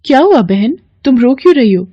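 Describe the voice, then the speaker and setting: A woman voicing a brisk, plain-spoken village neighbour woman in a story. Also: high voice, big pitch swings, mellow, husky, highs cut off, pitched above the performer's own voice, full character voice. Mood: concerned. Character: village woman neighbour, brisk, matter-of-fact, higher-voiced.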